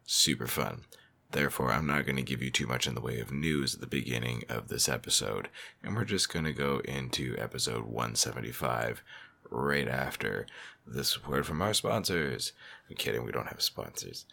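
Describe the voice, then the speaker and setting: A sick man with a very deep, gravelly voice worn down to a husky croak. He opens the podcast with dry jokes and a hoarse, strained tone. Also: animated, normal speed, bright, forceful tone. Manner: dry joking. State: sick, lost voice. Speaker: podcast intro host. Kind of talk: casual podcast intro.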